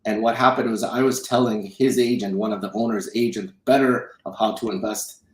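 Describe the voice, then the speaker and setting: Low-voiced man in an echoey room. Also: steady tone, fairly quick. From Romania, raised in South Dakota from childhood. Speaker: interview guest, film executive producer and financial advisor. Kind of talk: conversational interview storytelling.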